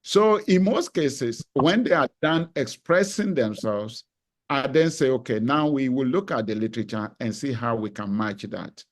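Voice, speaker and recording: A professor answers a question on a panel at a deliberate pace, thinking aloud and pausing with small hesitations. He is a man with a voice of middle pitch, speaking over a video call. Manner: deliberate, reflective, some hesitations. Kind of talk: measured academic answer.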